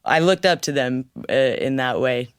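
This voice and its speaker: A musician guest with a slightly raspy voice, chatting easily and laughing often in an interview. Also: medium pitch, normal speed, no echo, studio quality. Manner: easygoing, laughs often, talks freely. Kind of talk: casual interview chat.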